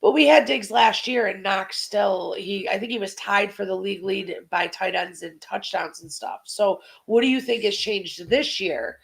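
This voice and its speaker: Woman, creaky voice, slightly congested, sports podcast host, casual conversational football chat. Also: medium pitch, moderate pace, few pauses.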